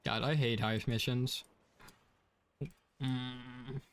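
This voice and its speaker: A man's voice: mid-range voice, little pitch movement, extremely slow, clean tone. A young man from Denmark with a Danish accent, chatting casually while streaming a game, rambling with many fillers. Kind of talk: casual gaming stream chat.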